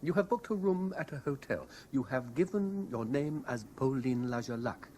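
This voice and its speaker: A man's voice: medium pitch, lively intonation, normal speed, a little mellow, natural voice. An actor in a 1970s radio drama playing an obsessed, domineering man haunted by a lost love, intense and insistent. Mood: demanding, accusing. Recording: noisy vintage radio broadcast recording.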